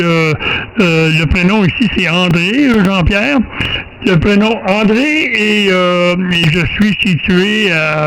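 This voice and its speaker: High-voiced older Québécois man on ham radio. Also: a bit bright, poor audio. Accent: Québécois French. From Quebec, Canada. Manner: halting, rambling delivery with drawn-out pauses. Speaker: amateur radio operator. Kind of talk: casual radio contact chat. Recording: amateur radio audio with faint noise.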